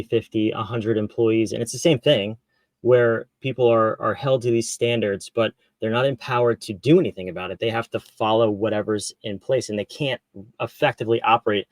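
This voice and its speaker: A man's voice: medium pitch, no echo. Man hosting in a dry, close sound. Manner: relaxed, curious questioning with frequent restarts. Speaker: podcast host. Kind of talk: conversational interview.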